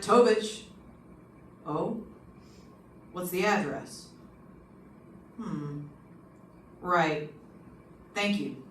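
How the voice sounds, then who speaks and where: An actor playing a brisk, matter-of-fact police lieutenant in an old-time radio detective drama. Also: medium pitch, fairly bright tone. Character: businesslike police homicide lieutenant. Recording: echoey, distant, noisy room.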